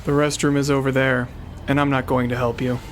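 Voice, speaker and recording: A man's voice: mid-range voice, level tone, fairly quick, slight background noise, close to an everyday voice. Man playing a curt, guarded military father. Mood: dismissive, sarcastic. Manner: curt, sarcastic, dismissive. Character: gruff, guarded military officer and protective father. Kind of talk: audio drama acting. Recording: music behind the dialogue.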